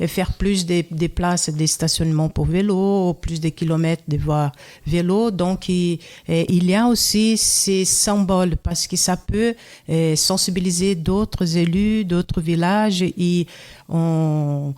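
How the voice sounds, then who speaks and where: A Brazilian woman speaking French as a second language with a Brazilian accent, a radio guest who pauses and restarts to find her words. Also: lowish voice, a little mellow. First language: Brazilian Portuguese. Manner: halting, searching for words, many restarts. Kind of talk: radio interview.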